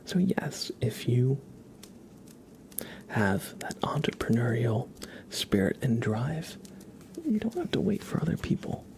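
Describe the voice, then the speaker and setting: A man hosting an ASMR livestream, speaking gently and unhurriedly in a breathy whisper with some gravel in it. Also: mid-range voice, very animated. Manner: soft-spoken, gentle, unhurried. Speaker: ASMR live streamer and host.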